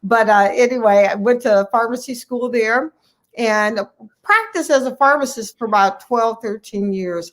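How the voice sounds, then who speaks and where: A woman's voice: on the high side, normal speed. Middle-aged American woman telling her story. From Cleveland, Ohio, United States. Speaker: interview guest, family physician. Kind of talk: personal storytelling in a conversational interview.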